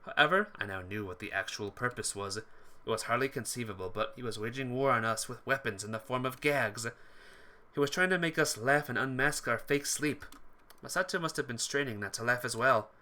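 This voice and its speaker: A man's voice: medium pitch, brisk, pushed and bright. A man reading visual novel text aloud as dramatised narration, sometimes stumbling over words and rereading them. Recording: music behind the voice. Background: game music.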